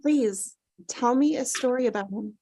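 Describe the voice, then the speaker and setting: A woman playing a gently pleading character who consoles a grieving mother, soft and earnest, in a dramatic table read. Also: fairly high pitch, normal speed, slightly rough, no echo, clean studio sound. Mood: coaxing.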